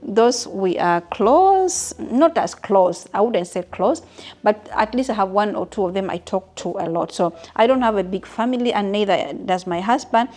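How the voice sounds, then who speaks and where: A woman's voice: mid-range voice, lively intonation, normal speed, a little mellow. Chatty woman in her fifties, from Uganda. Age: 50s. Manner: chatty, frequent restarts and asides to viewers. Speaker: vlogger. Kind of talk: casual Q&A talking to viewers.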